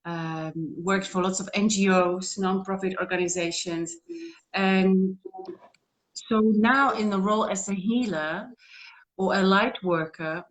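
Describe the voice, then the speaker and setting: A woman's voice: medium pitch, unhurried, low-quality recording. A woman, a guest on a video call, talking earnestly and rambling with frequent restarts. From Czech Republic. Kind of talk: casual interview talk.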